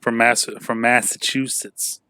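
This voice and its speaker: Rambling podcast host, dry close sound. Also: male voice, medium pitch, flat delivery, a little slow, pushed and bright, clear, resonant tone, no echo. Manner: rambling, many fillers and restarts, swears casually. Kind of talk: casual chat.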